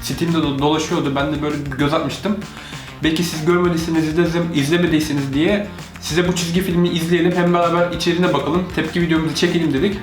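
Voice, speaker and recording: A man's voice: a little high, fairly even intonation, quick pace. Man reacting casually, roomy sound. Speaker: host, father reacting with his child. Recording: roomy home recording, music behind.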